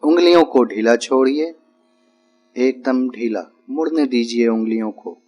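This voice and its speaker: A man giving guided relaxation instructions as a yoga nidra teacher, speaking calmly and deliberately in short, repeated phrases with pauses. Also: mid-range voice, soft background music.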